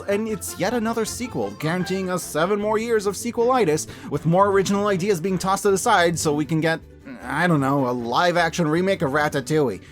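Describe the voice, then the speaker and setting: Guy doing scripted reviews, swoopy expressive voice, playful and casual wording, bit of music behind. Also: high-pitched. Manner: emphatic, playful, colloquial, with dramatic repeats for effect. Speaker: host of a yearly media review show.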